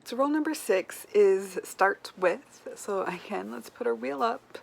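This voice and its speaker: A woman's voice: mid-range voice, unhurried, darkish tone. A woman chatting casually as a book vlogger, with an informal, wryly self-deprecating manner. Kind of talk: casual chat to camera. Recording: clear background noise.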